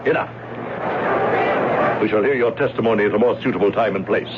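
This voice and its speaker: A man's voice: lowish voice, brisk, close to an everyday voice. Man acting a commanding Roman senator, very noisy radio. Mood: stern, official. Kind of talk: old-time radio drama acting. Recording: very noisy, muffled old radio recording.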